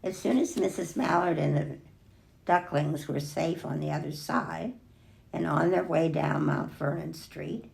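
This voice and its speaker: An older woman reading a children's picture book aloud expressively, pausing to remark on the pictures. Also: sits low, creaky, a little mellow. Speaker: storybook reader.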